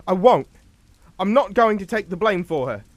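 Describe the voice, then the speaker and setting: Panicky young nitwit son, radio play, high whiny stammer, wildly varying intonation. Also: male voice, animated, moderate pace, no room sound. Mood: panicked, indignant. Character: panicky, whining, dim-witted young English son. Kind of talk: radio drama acting.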